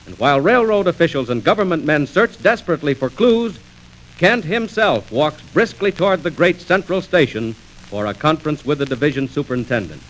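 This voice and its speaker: Man, old-time radio narrator, fairly high voice, lively swings, urgent and suspenseful, faintly noisy record. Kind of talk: dramatic 1940s scripted narration.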